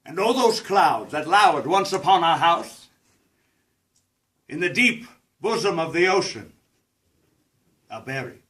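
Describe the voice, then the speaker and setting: A man playing a scheming Shakespearean villain king, declaiming a classical stage monologue in a measured, grand manner. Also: on the high side, sing-song, a bit bright, distant mic. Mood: brooding, grand.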